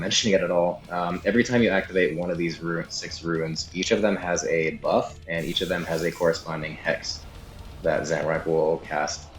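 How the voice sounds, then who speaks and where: Very deep-voiced man explaining a game. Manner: casual, explanatory, hedging. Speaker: guide narrator. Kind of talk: video game walkthrough commentary, unscripted. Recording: slightly echoey room, game music underneath. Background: game music.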